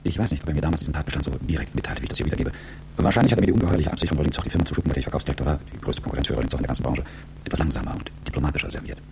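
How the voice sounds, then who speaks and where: A man's voice: rumbling low, rapid-fire, gravelly, noisy background. A man playing a cool, calculating, world-weary business executive who tells his story reflectively and articulately in a radio drama. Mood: reflective, sober. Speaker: narrator and lead character. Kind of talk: radio drama first-person narration.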